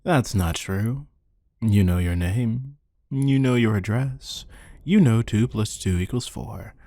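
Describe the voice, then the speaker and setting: A man performing a sardonic human interrogator for hire in an ASMR roleplay. His voice is deep and dark, and he takes his time, taunting his captive with bored, deadpan condescension. The sound is dry and close, with a husky grain. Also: takes it slowly, dry recording.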